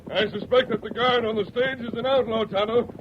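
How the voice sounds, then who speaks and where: An actor playing a calm, watchful masked western hero in a measured 1940s radio drama style. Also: male voice, extremely high pitch. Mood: watchful, serious. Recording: noisy, muffled old radio recording.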